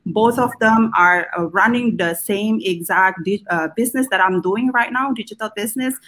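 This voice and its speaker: A woman hosting a live video talk, speaking casually with many pauses and fillers. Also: mid-range voice, a bit bright. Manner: hesitant, many ums and uhs. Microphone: video call.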